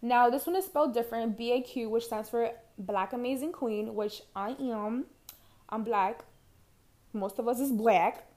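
A woman's voice: on the high side, moderate pace, a little mellow. A young woman from Harlem with a New York accent, hosting her own podcast in a brash, profane, chatty way from her couch at home. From Harlem, New York City. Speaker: podcast host. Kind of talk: casual solo podcast monologue.

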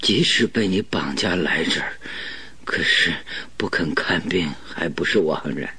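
A gravely ill detective in a radio play, gasping out stubborn instructions in a very hoarse, half-whispered voice. The voice is low and creaky, with slow, laboured phrases that sound weak and pleading. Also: male voice, bright, forceful tone, full character voice. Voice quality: breathy, raspy, weak whisper. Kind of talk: radio drama acting.

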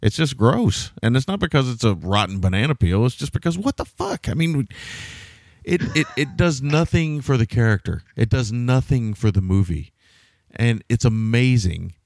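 A man's voice: mid-range voice, lively intonation, normal speed, gravelly, darkish tone, no room sound, clean studio sound. A man co-hosting a podcast, reviewing a film in casual, rambling, amused talk. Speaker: podcast co-host. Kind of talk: casual movie review chat.

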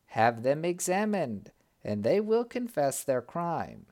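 A storyteller voicing a wise talking bird that speaks in a measured, solemn way. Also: mid-range voice, very animated, warm tone.

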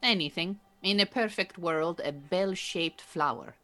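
An actress playing an Iranian refugee woman who keeps bees, a dry, earnest, patient mentor with measured phrasing in an audio drama. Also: on the low side, sharp tone. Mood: matter-of-fact. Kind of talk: naturalistic audio drama dialogue.

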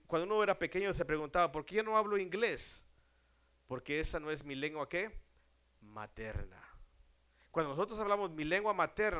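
A man preaching a sermon to a congregation, putting questions to the audience and answering them himself, often dropping to a hush at the end of a phrase. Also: fairly high pitch, clean tone. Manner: slow and deliberate, drops to a hush at ends of phrases.

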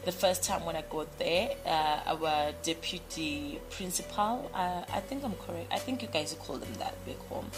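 A woman's voice: fairly low pitch, moderate pace, few pauses, low-quality recording. Bubbly rambling woman with music behind. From Zimbabwe, raised in South Africa. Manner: bubbly, rambling, many fillers and restarts. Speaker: radio personality, podcast guest. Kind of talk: casual interview answers.

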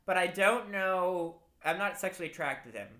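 A man's voice: sits high, animated, moderate pace, bright, forceful tone. A man co-hosting a casual chat show, giggly and teasing, with many fillers. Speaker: podcast co-host.

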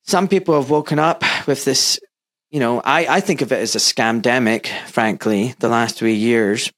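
A man's voice: mid-range voice, moderate pace, a bit bright. Earnest mild-Scottish man in his fifties. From Glasgow, Scotland. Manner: earnest, emphatic, personal storytelling. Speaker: guest, surgeon. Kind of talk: candid interview talk.

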